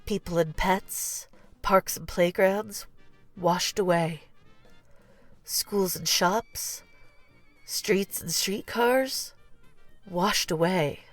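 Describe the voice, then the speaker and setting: Slow-paced woman reading a children's story. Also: lowish voice, soft background music. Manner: expressive, deliberate storytelling. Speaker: storybook reader. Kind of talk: children's read-aloud narration.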